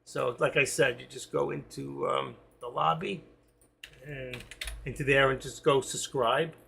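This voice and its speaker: A man hosting a live trading stream, talking to viewers while he watches the charts, with quiet asides between his sign-offs. He is losing his voice. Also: medium pitch, fairly even intonation, measured pace, gravelly, a little hiss or hum. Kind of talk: live market commentary to viewers.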